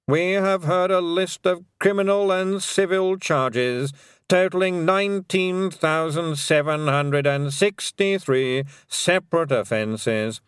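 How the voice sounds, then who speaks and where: A man voicing a pompous male lawyer who reads out charges in a slow, formal way. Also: fairly high pitch, clear, resonant tone, dry recording, studio quality, voice pushed up. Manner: measured, formal.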